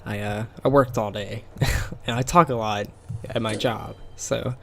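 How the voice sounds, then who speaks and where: Gravelly man with a scratchy, tired voice. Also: lowish voice, steady tone, a little slow. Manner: rambling, with many fillers and small laughs. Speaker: gameplay commentator. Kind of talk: casual game commentary.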